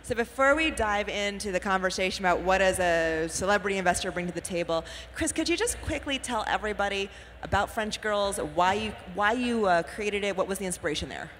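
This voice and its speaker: A woman's voice: medium pitch, lively intonation, brisk, a bit bright, slight background noise. A woman moderating a conference panel, introducing guests and asking upbeat questions. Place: conference stage in a large hall. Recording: hall reverb, faint ambience.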